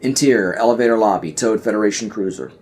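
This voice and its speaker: A man's voice: fairly low pitch, fast talker, darkish tone. A man narrating an audio play in a scripted, screenplay-reading style.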